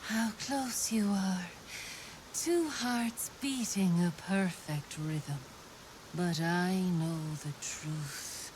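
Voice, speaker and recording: A woman playing a teasing, mystical seductress in a fantasy video game, speaking slowly with theatrical pauses. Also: lowish voice, a little mellow, a little hiss or hum, low-quality recording. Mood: sultry, mysterious. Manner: slow, theatrical, breathy dramatic pauses.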